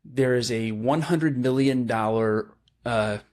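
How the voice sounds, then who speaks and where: A man's voice: mid-range voice, slow, a little mellow. A man hosting a local news podcast, chatting casually and working through numbers aloud. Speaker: podcast host. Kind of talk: casual chat.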